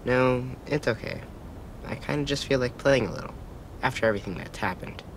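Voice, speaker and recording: A man playing a soft-spoken, sad and earnest young boy artist in a dramatic video game, speaking haltingly. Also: medium pitch, close to an everyday voice, poor audio. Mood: gentle, encouraging. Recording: clear ambient noise behind.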